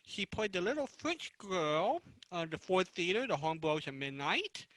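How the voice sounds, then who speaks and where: A man moderating a panel, introducing guests off the cuff in a halting, conversational way. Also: high voice, big pitch swings, a bit bright, no echo, low-quality recording.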